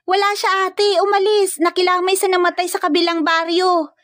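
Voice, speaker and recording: A woman's voice: very high voice, little pitch movement, normal speed, a bit bright, no echo, clean studio sound, pitched above the performer's own voice. A woman voicing a cheeky, confident younger sister from the province in a story, blunt and teasing.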